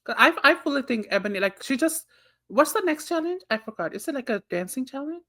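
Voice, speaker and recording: A man's voice: extremely high pitch, animated, fairly quick, bright, forceful tone. A man who grew up in Nepal, a guest on a podcast, chatting casually and teasing with frequent laughter. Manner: giggly, teasing, bursts of laughter.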